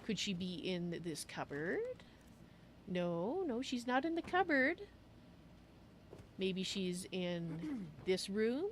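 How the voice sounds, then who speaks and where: Female game streamer, playful in-character narration, very varied intonation, mid-range pitch, faint background noise. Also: big pitch swings, slow, long pauses. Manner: playful, musing aloud. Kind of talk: in-character roleplay narration of a video game, in third person.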